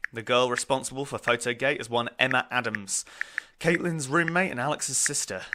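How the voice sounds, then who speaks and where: A man's voice: medium pitch, close to an everyday voice. Guy doing smug gossipy drama kid, young student, noticeable noise behind him. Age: young adult. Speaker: actor. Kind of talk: audio drama acting. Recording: noisy background with clicks.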